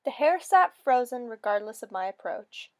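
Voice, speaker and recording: A woman giving a theatrical, expressive dramatic reading of a first-person fairy story, as a storyteller. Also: fairly high pitch, moderate pace.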